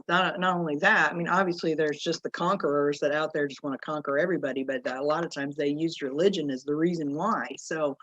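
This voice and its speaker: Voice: medium pitch, no echo, muffled recording. A member of a casual book discussion group who is losing their voice, rambling and correcting themselves as they talk.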